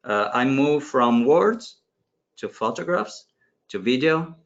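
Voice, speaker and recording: A man's voice: mid-range voice, lively intonation. A man in his forties, a course leader, introducing himself informally and stopping and restarting often. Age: 40s. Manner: halting, frequent restarts and fillers. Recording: dull lo-fi audio.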